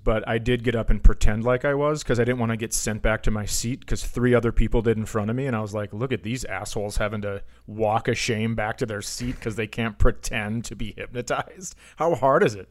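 A man's voice: mid-range voice, fairly even intonation, a little fast, hardly any pauses. A man in casual comic banter, telling anecdotes in a rambling way and often interrupting himself. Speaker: comedy podcast host.

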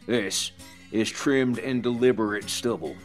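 A man voicing a crude, teasing trucker in his fifties who mutters and drawls in a low country manner. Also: measured pace, faint music behind, natural voice. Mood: indignant. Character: gruff, crude older male trucker, teasing.

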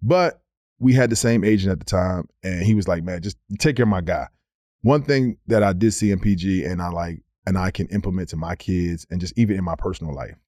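A man in his thirties, a former pro basketball player, telling stories on a podcast in a casual, animated way, repeating words as he goes. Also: sits low, brisk, no echo, studio quality. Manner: animated storyteller, slips into quoted voices, repeats words. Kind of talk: casual storytelling.

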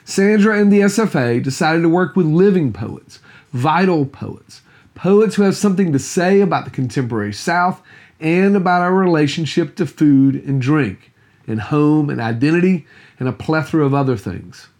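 A man's voice: a little high, expressive, moderate pace, darkish tone. A man, reading scripted narration as a podcast host.

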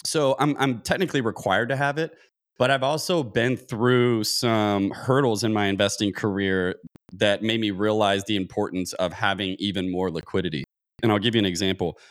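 Low-voiced man in his thirties, rambling. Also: fairly even intonation, moderate pace. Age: 30s. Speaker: podcast guest, real estate investor. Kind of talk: conversational interview explanation.

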